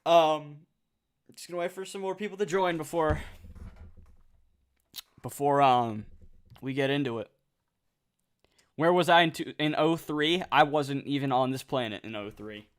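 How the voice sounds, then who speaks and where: A nineteen-year-old man chatting casually on a livestream, reading out viewer comments, excitable and swearing freely. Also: on the high side, a little slow, pauses often, clear, resonant tone.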